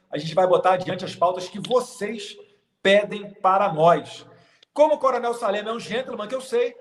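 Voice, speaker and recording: A man's voice: high voice, reverberant, slight background noise. A man hosting a political livestream, talking informally and straight to his viewers. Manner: informal, direct, addresses viewers. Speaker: host.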